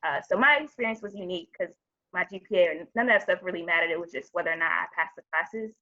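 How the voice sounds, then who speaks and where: A woman medical student on a video-call panel, explaining her own path in a relaxed way with many fillers. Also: lowish voice, fast talker. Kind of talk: casual account on a video call. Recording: dull lo-fi video call audio.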